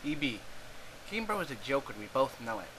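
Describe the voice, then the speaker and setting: A man's voice: mid-range voice, big pitch swings, brisk, close to an everyday voice. Offhand man voicing snarky young chat buddy. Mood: snarky, casual. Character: bantering young internet chat friends, snarky and casual. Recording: noisy amateur home recording.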